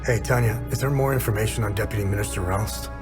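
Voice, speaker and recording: An actor playing a laconic field operative in a video game, with a dry, understated manner. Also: male voice, fairly low pitch, fast, music in the background, lightly acted. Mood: businesslike.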